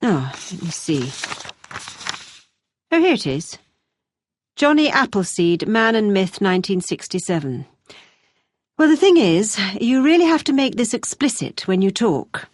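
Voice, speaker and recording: A woman acting as a brisk, encouraging but exacting British university tutor, giving measured, emphatic feedback in a scripted listening-test dialogue. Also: mid-range voice, very animated, dry recording, studio quality.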